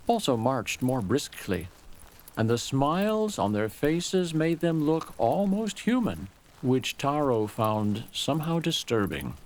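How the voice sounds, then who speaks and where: A man reading a scripted dramatic story as a storyteller, in a measured, literary manner. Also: mid-range voice, darkish tone.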